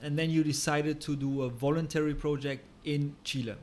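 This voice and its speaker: A man's voice: on the high side, steady tone, moderate pace, darkish tone. A man hosting a podcast, introducing and interviewing a guest. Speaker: podcast host.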